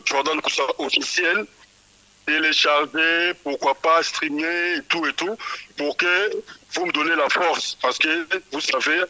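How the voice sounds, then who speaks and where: A man from Kinshasa speaks with a very bright, forceful tone. He talks through a radio interview about his music, often halting and repeating short words before he finds the next phrase. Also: on the high side, poor audio. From Kinshasa, DR Congo. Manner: stumbles and repeats small words while thinking. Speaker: musician guest.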